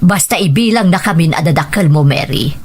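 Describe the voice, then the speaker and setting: A woman's voice: deep voice, animated, a little fast, a little mellow, slight background noise. A woman playing a kindly, affectionate city aunt in a scripted audio drama. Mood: affectionate, welcoming.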